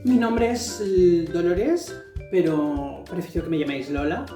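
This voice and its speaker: A woman's voice: fairly low pitch, a little slow. A woman in her thirties playing an anxious, dramatic marketing worker who swears. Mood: hesitant. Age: 30s. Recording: echoey room with music underneath.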